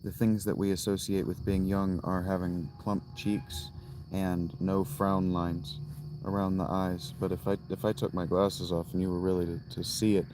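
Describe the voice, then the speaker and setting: A man in his thirties chatting on a casual livestream from inside a tent, musing slowly and restarting his thoughts as he goes. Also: sits low, little pitch movement, noisy background. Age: 30s. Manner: slow, musing, thinks aloud with restarts. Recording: loud crickets and outdoor ambience. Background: crickets.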